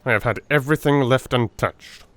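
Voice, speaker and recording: A man's voice: mid-range voice, brisk, close to an everyday voice. Deferential yet self-satisfied Victorian police detective played by a male reader, eager, for audiobook drama. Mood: effusive.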